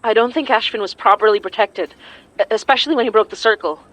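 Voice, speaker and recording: A woman acting as an anxious but determined young folk magic practitioner in an audio drama, sometimes halting. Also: fairly high pitch, a bit bright, low-quality recording. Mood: worried.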